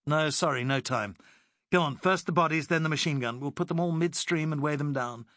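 A man's voice: a little high, brisk, fairly bright tone, no room sound, studio quality, pitched above the performer's own voice, natural voice. Man playing a decisive British officer. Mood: commanding. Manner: crisp, commanding.

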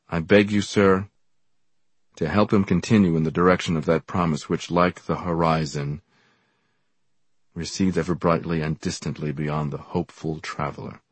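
A man voicing a formal, pompous male college head, reading out a letter in a measured, solemn and deliberate way in an audiobook. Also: low voice, husky, dry recording.

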